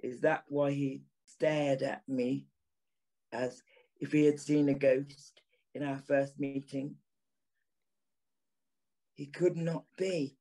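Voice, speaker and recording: A man's voice: medium pitch, level tone, dark tone. An actor playing a haunted former journalist turned signalman, grave, anguished and intense in a dramatic audio drama narration. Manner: slow, deliberate, intense.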